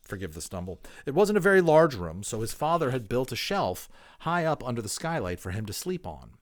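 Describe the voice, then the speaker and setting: A man reading a storybook aloud as a dramatic narrator, expressive and emphatic, in an informal style. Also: mid-range voice, fairly quick. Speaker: audiobook reader.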